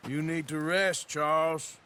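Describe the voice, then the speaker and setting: An actor playing a gruff, world-weary American outlaw in a video game, with a dry, teasing Western drawl. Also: male voice, on the high side, little pitch movement, a little slow. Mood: gruff concern. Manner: dry, sardonic, folksy. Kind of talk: video game cutscene acting. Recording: loud music behind the dialogue.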